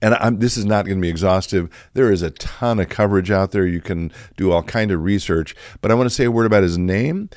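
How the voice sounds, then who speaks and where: A middle-aged male pastor from the Chicago area, slightly raspy from allergies, talking through a reflective podcast with plenty of fillers and restarts. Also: sits low, a little fast, few pauses, a little mellow, no echo, clean studio sound. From Chicago area, USA. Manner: conversational, many fillers and restarts.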